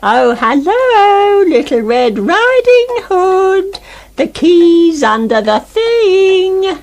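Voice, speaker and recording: Griping old British granny, animated. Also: female voice, extremely high pitch, very slow, heavily acted. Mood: put-on sweet. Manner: moaning, resentful, sarcastic. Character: griping, fed-up old fairy-tale grandmother. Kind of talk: comic radio play acting.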